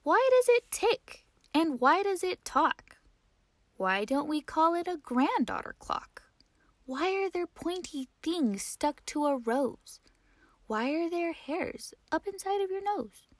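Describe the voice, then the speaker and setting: Lady reading as a curious little girl, high and wondering, soft whispery bits. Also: very animated, slow pace, no echo, heavily acted. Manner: wondering, questioning, some whispered lines.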